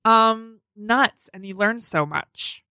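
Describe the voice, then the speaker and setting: Bright-voiced woman chatting as a guest. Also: on the high side, slow, smooth, no room sound, studio quality. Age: 30s. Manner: candid and chatty, with frequent "like" and "um". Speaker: podcast guest. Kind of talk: casual chat. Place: podcast studio.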